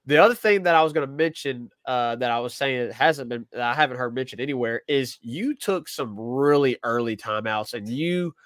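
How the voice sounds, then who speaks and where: A man's voice: medium pitch, fairly quick, few pauses, fairly bright tone, no echo, clean studio sound. A man with a stuffy nose from a cold, co-hosting a casual sports talk show and thinking aloud with lots of restarts. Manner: rambling, self-correcting, thinking aloud. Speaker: podcast co-host.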